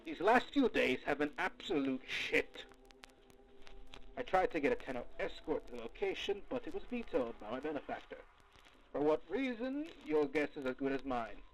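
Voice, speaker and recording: A gravelly, fairly high-voiced investigator played by a man dictates field logs for an audio drama. He is wry and jaded, speaking in formal, articulate phrases with lively swings in pitch. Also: moderate pace, a little hiss or hum, low-quality recording. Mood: frustrated. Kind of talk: scripted audio drama log narration.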